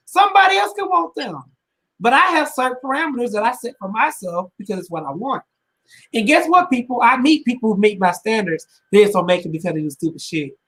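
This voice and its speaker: A woman's voice: high voice, fairly bright tone, poor audio. A woman in her twenties, a podcast co-host, giving blunt, emphatic opinions in slangy casual chat. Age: 20s.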